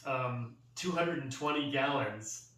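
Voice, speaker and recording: A man's voice: mid-range voice, takes it slowly, a bit bright. An American man in casual group chat, thinking aloud in a discursive way. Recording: roomy echo, distant mic, faint noise.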